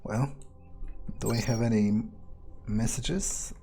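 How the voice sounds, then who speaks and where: A man's voice: fairly low pitch, takes it slowly, noisy background. A man playing a cynical, menacing vampire who muses aloud in a brooding, profane way, as in-character game roleplay. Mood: musing. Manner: brooding, hesitant, profane musing. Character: cynical, menacing male vampire talking through his thoughts. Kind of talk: in-character gameplay roleplay monologue.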